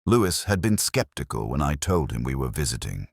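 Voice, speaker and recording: A man reading a scripted first-person detective story in a measured, brooding, reflective way. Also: very deep voice, sing-song, gravelly, no room sound, clean studio sound. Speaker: narrator.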